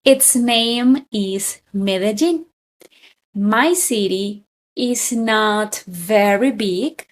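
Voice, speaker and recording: A woman in her thirties from Colombia leads a beginner English lesson. She speaks in a fairly high voice, extremely slowly, and lays out each simple phrase with care before repeating it. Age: 30s. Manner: very slow, deliberate, with frequent repetition. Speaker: English teacher.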